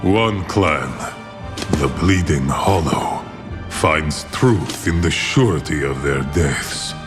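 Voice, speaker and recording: A weary, grave lore-keeper narrating a fantasy game tale. His voice sits low and he takes his time over each phrase, stately and deliberate. Loud music runs beneath him. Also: lively intonation, exaggerated voice. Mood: solemn, grave. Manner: slow, solemn, deliberate storytelling. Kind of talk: dramatic scripted narration for game cinematic.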